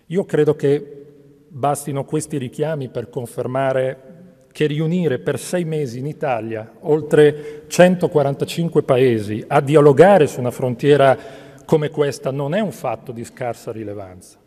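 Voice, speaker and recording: A man's voice: mid-range voice, measured pace, warm tone. A middle-aged man giving a formal policy speech at a podium, emphatic and stressing key figures. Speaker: government minister. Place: large ornate state hall. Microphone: podium microphones. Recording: long hall reverb.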